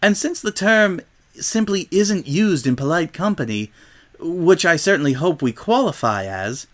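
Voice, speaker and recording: A man's voice: on the high side, sing-song, unhurried, a bit bright. Guy doing a fancy lady unicorn, prim and proper, lightly raised voice, cartoon story. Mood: prim, exasperated. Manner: prim, formal, precise phrasing. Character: refined, genteel female unicorn lady.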